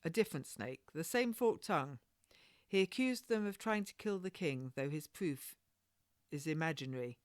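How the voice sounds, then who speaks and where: A woman's voice: low voice, expressive, normal speed, no echo, clean studio sound. A woman voicing a stern, proud and pious midwife in a storybook, with a firm, admonishing manner and old-fashioned phrasing. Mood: earnest, indignant.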